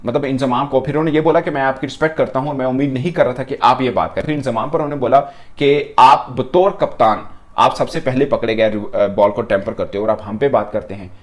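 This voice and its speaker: A man speaks at a brisk clip in a mid-range voice. He delivers casual sports commentary, recounting remarks as an informal report. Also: medium pitch.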